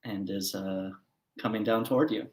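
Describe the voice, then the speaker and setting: A man running a tabletop game as game master, describing scenes in a relaxed way with frequent fillers. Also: lowish voice, normal speed, roomy sound.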